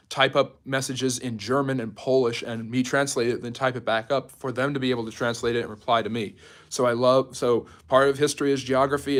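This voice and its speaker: A man hosting a live stream, talking casually and informally like a history teacher taking questions from viewers. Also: medium pitch. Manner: chatty, jumps between topics, reads out and answers viewer comments.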